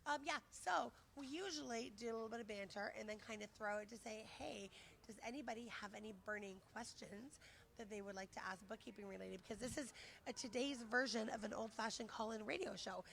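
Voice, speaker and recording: A woman hosts a relaxed live chat show from a conference floor, bubbling with enthusiasm. Her voice sits a little high and has a gravelly, fry-tinged edge, and she is losing it as she talks. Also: slight background noise. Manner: upbeat, enthusiastic, quick to say "awesome". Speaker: host. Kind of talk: live-streamed casual chat. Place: conference venue, indoors.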